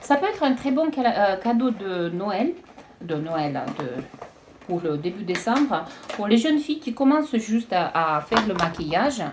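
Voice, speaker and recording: A woman chatting casually as she unboxes beauty products, hesitant and halting with frequent restarts. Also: medium pitch, lively intonation, noisy background. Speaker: beauty vlogger.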